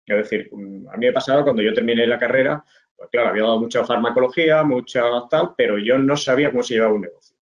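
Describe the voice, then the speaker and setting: A middle-aged man from Spain walks students through his slides in a relaxed, halting way, often pausing on fillers and restarting phrases. His voice comes through a webcam with a slightly dull, lo-fi sound. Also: medium pitch. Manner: hesitant, many fillers and restarts, explaining to students. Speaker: pharmacist giving a webinar talk. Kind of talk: informal online presentation over slides. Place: indoors at a desk. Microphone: webcam video call.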